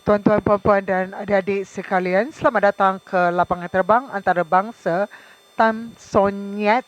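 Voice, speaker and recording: A woman's voice: medium pitch, fairly even intonation, moderate pace, lo-fi sound. A woman with a Malaysian accent reading scripted cabin announcements as a flight attendant.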